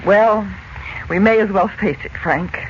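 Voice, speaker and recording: A woman's voice: mid-range voice, unhurried, close to an everyday voice. An actress playing an anxious, earnest middle-aged mother in a 1940s radio serial, with a worried, dramatic delivery. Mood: despairing. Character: anxious, despairing, gently scolding mother. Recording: muffled, noisy late-1940s radio recording.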